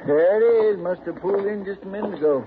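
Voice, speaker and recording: An actor playing a folksy, rustic frontier deputy in a 1950s radio drama. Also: male voice, high-pitched, fast, natural voice. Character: folksy drawling deputy, a plain frontier man. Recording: tinny old radio broadcast with hiss.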